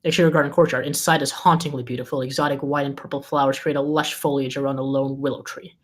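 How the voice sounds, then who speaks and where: Screenplay narrator with medium pitch. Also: flat delivery, fast talker. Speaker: stage-directions narrator. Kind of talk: scripted screenplay narration at table read.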